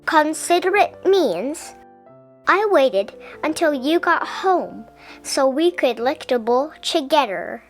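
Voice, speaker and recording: High-voiced woman reading a children's storybook. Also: big pitch swings, slow, faint music behind. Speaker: picture-book reader. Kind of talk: children's storybook read-aloud.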